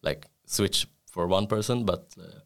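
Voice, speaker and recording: A man's voice: low voice, measured pace. A man in a casual group chat, talking loosely with lots of "like" and trailing off.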